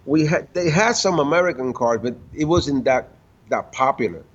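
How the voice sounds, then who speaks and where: A man in his fifties, from the Dominican Republic and raised in Puerto Rico, tells his story slowly and haltingly, restarting words as he goes. His voice is mid-pitched. The recording sounds muffled and lo-fi. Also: expressive. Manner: halting, many restarts, laughs between stories. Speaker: guest, car builder and tuner. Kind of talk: casual interview storytelling. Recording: dull lo-fi sound.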